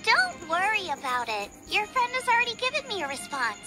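A woman's voice: extremely high pitch, very animated, fairly quick. A woman voicing an eager, bubbly, food-obsessed young girl chef in a video game. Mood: friendly, eager. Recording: game music playing behind.

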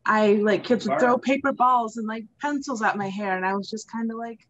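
A woman's voice: on the high side, fairly quick, a bit bright, muffled recording. A young American woman chatting casually from home on a video call. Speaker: event participant. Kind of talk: casual chat.

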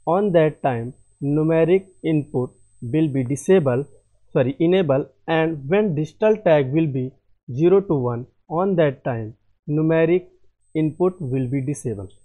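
Man, software tutorial, slow delivery, mellow tone, halting with self-corrections. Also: a little high, rough recording. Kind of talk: screen-recorded software tutorial.

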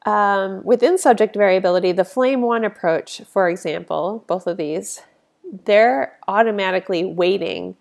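A woman's voice: on the low side, gravelly, darkish tone. Sniffly woman narrating stats slides. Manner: informal, conversational, frequent fillers. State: sniffly with a cold. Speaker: statistics lecturer. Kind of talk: casual narrated slide lecture. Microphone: voice-over on slides.

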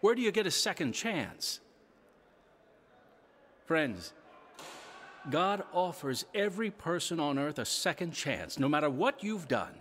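A man's voice: on the high side, big pitch swings, a little slow, long pauses, fairly bright tone, a little hiss or hum. An actor playing an earnest male prison preacher giving a sermon in a radio drama.

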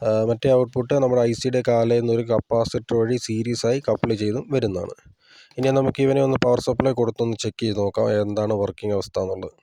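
A man's voice: medium pitch, steady tone, quick pace, creaky, dark tone, a little hiss or hum. A man giving a casual hands-on tutorial, explaining plainly step by step as a hobbyist presenter.

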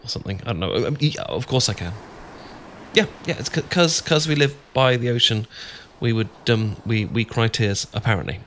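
A man's voice: mid-range voice, lively intonation, normal speed, a bit bright, slight background noise. A man with a British accent acting an awkward, easily flustered, bashful friend in a romantic ASMR audio roleplay, stammering and rambling as he goes. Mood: awkward, musing.

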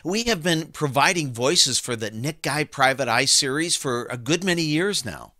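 Male voice actor, scripted presenter read, animated and fairly high-pitched. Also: normal speed, dry recording, studio quality. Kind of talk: scripted demo presentation with comic banter.

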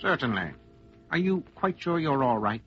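A man's voice: a little high, big pitch swings, normal speed. A man as a courteous, composed narrator and announcer, formal and polished, in old radio style. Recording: muffled old radio broadcast.

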